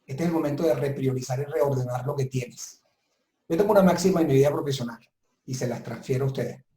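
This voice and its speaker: A man's voice: mid-range voice, quick pace, dark tone. A man speaking as a guest consultant in a webinar interview, giving advice in an emphatic, reflective way. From southern Italy. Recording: roomy, echoing sound.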